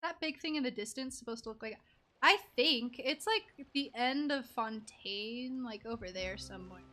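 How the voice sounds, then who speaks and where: A woman's voice: a little high, expressive, moderate pace, pushed and bright. A woman doing casual live game commentary as a streamer, playful and rambling. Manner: playful, rambling, makes silly sound effects. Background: game music.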